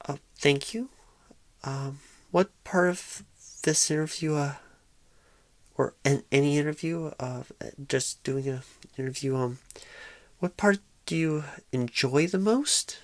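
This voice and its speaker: Casting: female performer as bashful rookie recruiter for ASMR roleplay; low, slow, creaky, awkward and stammering. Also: no room sound. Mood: nervous, hesitant. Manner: halting, stammering, apologetic, awkward. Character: painfully shy, nervous, inexperienced job recruiter on first day. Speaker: job interviewer. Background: computer keyboard typing.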